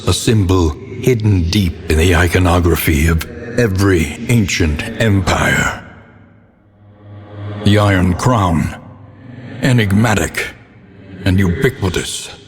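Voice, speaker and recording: An actor voicing a grim, learned older narrator of a gothic video game, speaking in an ominous, solemn, theatrical way. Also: male voice, low voice, slow, long pauses. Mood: brooding reminiscence. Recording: long reverb with faint background noise.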